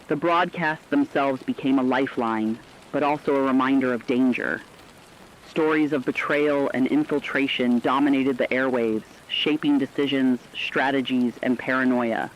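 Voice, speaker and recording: A man giving scripted, documentary-style dramatic narration with a measured, serious delivery. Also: mid-range voice, fairly even intonation, muffled recording, slight background noise.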